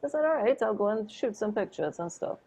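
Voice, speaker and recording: A woman, a photographer and interview guest, telling her story in a chatty, animated way with lots of "like" and "oh my God". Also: medium pitch, a little fast.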